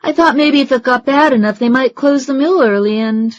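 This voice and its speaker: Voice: high-pitched, brisk, highs cut off, pitched above the performer's own voice, close to an everyday voice. A narrator voicing a young boy home alone in a snowstorm, defensive and a little nervous on the phone.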